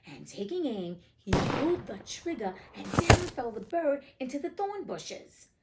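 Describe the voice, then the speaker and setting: Animated woman reading a fairy tale. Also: high-pitched, unhurried, very noisy. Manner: playful, chatty, expressive. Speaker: host reading fairy tales aloud. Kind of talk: storybook narration with casual asides.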